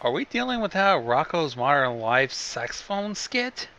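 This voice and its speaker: A man's voice: a little high, sing-song, a little slow, bright, forceful tone, lo-fi sound, slight background noise. A man riffing casually as a guest commentator, with a sardonic manner.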